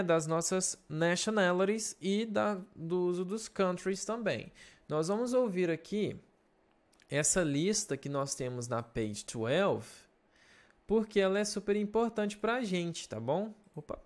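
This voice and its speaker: A young man with a Brazilian Portuguese accent, an English teacher slowly explaining a lesson in a recorded video and checking in with his students. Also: sits high, expressive. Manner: slow, patient explaining with check-in questions.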